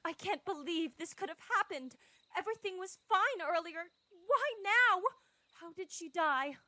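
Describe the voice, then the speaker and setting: Woman playing genteel cartoon murder suspect: high bright voice, sweet, pleading, melodramatic. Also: animated, measured pace, no room sound, heavily acted. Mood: shocked, distraught. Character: distraught sweet young lady murder suspect, genteel and dramatic. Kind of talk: animated murder-mystery parody acting.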